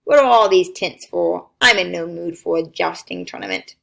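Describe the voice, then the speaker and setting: A woman's voice: on the low side, moderate pace, vocal fry, a bit bright, pitched below the performer's own voice. A woman reading aloud as a sour, weary king who mutters grumpily. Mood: grumpy.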